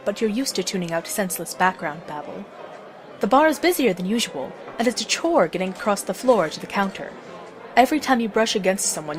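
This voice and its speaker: A woman narrating a scripted story as the narrator of an audio drama. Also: medium pitch.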